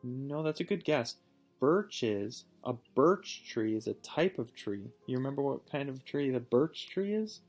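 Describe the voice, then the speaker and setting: A man's voice: mid-range voice, lively intonation, a little slow, a little mellow. Man reading a storybook, music behind. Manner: encouraging, patient, questioning. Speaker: reading teacher or parent reading aloud. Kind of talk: storybook read-aloud with questions.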